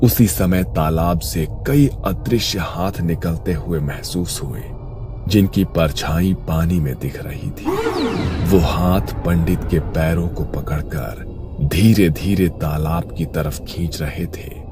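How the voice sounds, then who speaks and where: A man narrates a horror tale in an extremely deep voice. His scripted delivery is slow and suspenseful, with music playing clearly behind him. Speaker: story narrator.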